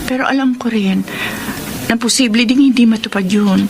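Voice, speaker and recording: A woman's voice: a little high, moderate pace, lightly acted. A woman playing a young, responsible, self-reliant eldest sister in a Filipino radio drama. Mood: resigned, firm. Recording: noisy radio drama recording.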